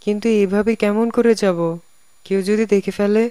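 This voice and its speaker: A man's voice: high voice, little pitch movement, brisk, warm tone, clear, resonant tone, no room sound, close to an everyday voice. A man voicing a whiny, lovesick young man in a cartoon, plaintive and sulky. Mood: sulky, embarrassed. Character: whiny lovesick young man, butt of his friends' jokes. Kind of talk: cartoon voice acting.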